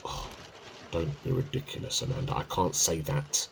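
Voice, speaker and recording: An easygoing husband, acted by a man in a domestic radio drama. His voice is slightly rough and moves widely in pitch as he banters and dodges, sounding like someone chatting at home. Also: huge pitch swings, moderate pace, a bit bright, heavily acted. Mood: exasperated. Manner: conversational, bantering. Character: easygoing, evasive husband, bad at keeping secrets. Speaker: amateur radio play actor. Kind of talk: domestic radio play dialogue.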